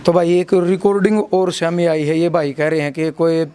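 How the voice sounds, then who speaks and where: A man's voice: on the high side, steady tone, moderate pace, mellow, a little hiss or hum. A man with a Haryanvi accent working as a reporter, interviewing people and restating their accounts in a repetitive, brotherly way of addressing listeners. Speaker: local reporter interviewing a family. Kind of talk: on-location interview with spoken summaries.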